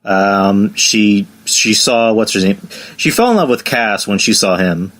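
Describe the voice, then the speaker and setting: A man hosting a podcast, chatting in a laid-back way with halting fillers. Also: on the low side, a bit bright. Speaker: podcast host. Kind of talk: casual chat.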